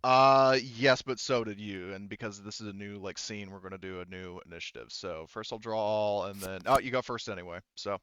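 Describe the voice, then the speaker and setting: Low-voiced, smooth man running a tabletop game. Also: a bit bright, no echo, dull audio. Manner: halting, thinking aloud. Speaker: game master. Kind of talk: casual tabletop game narration and rulings.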